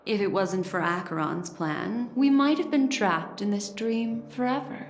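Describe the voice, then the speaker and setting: An actress playing an elegant, enigmatic keeper of memories in a video game, speaking in a poised, sultry way. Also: female voice, medium pitch, measured pace, echoey room, close to an everyday voice. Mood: composed, explaining. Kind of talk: video game story dialogue.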